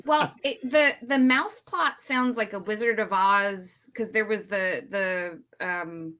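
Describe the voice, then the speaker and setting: A woman with a bright, forceful voice at a middle pitch talks as a panelist. She explains casually and ramblingly, finding her way as she goes. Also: slow.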